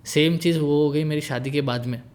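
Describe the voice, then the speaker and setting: A man's voice: a little high, steady tone, brisk, darkish tone. Guy in his late 20s chatting easy, joking around, switches into english a lot. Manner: jokey, easygoing, mixes English into Hindi. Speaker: guest. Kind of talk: casual conversation.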